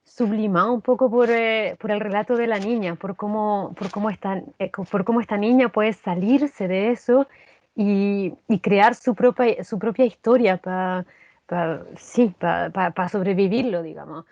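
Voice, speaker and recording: A woman's voice: medium pitch, steady tone, normal speed, darkish tone, no room sound. A woman with a Chilean accent speaking reflectively as an interview guest, repeating phrases as she thinks aloud. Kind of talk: conversational interview. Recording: dull lo-fi sound.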